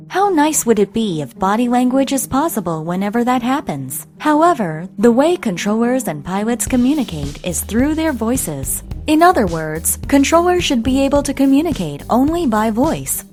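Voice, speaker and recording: A woman's voice: on the high side, sing-song, normal speed, hardly any pauses, darkish tone. A woman reading a scripted explainer in an instructional way, posing rhetorical questions to the listener. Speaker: narrator. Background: background music.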